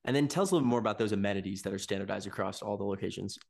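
Fast-talking male podcast host. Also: fairly low pitch, creaky, darkish tone. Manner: brisk, eager. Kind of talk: scripted intro and interview questions.

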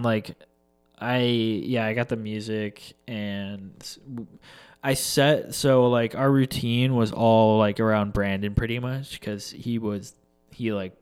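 A young man tells a loose, rambling story, full of fillers, restarts and casual swearing. His voice sits at an ordinary middle pitch, with a slight congested, stuffy sound from seasonal allergies. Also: smooth.

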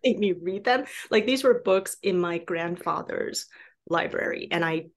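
A woman with a fairly low voice, from Taiwan and a native Mandarin speaker, chats as an interview guest. She thinks aloud as she goes, stopping and restarting, with plenty of casual filler words. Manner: halting, thinking aloud, frequent "like" and "you know". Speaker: guest novelist. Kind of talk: conversational podcast interview.

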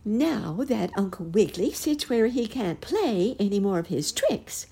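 Squeaky storybook mouse schoolmistress, read by a woman, prim and proper, voice up high. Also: sing-song, unhurried, vocal fry, dark tone, slightly rough, full character voice. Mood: scolding.

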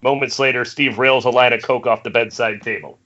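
A man's voice: mid-range voice, steady tone, a little fast, sharp tone. A man reading screenplay stage directions as scripted narration over a dull internet call.

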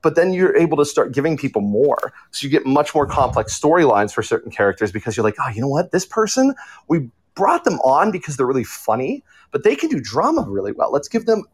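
A man's voice: a little high, big pitch swings, fairly quick, husky. A man talking casually as a writer on a discussion panel. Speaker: audio drama writer and panelist.

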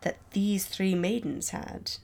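A woman voicing a dead young male lover in an old ballad, with a put-on Scottish accent and solemn, deliberate, archaic delivery. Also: medium pitch, fairly even intonation, gravelly, a little mellow. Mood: mournful, solemn. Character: ghost of young William, a doomed male lover. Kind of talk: dramatic recital of an old ballad.

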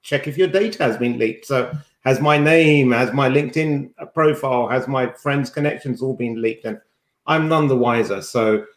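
A man's voice: medium pitch, normal speed. A man co-hosting a podcast, chatting in an informal, teasing way. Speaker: podcast co-host. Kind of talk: casual chat. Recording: roomy, echoing sound.

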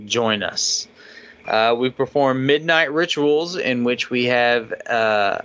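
A man with a bright, forward voice reads listener mail on a casual podcast, loose and chatty. The audio is dull and lo-fi. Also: medium pitch, takes it slowly, clean tone. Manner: laughs often while reading, loose and chatty. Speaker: podcast co-host reading listener feedback. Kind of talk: casual podcast chat and email reading.

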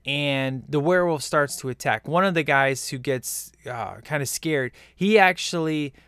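A man's voice: on the high side, measured pace, fairly bright tone, smooth, no room sound. A man co-hosting a casual movie-review chat, rambling and enthusiastic with lots of fillers. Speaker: podcast co-host reviewing a film.

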